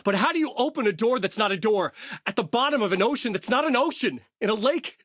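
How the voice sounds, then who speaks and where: An actor playing a tormented, haunted horror novelist, narrating a video game in grave, brooding noir fragments with a husky voice. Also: male voice, high-pitched, expressive, quick pace, a bit bright, dry recording, studio quality. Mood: urgent, intense. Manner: grave, literary, brooding delivery in short fragments.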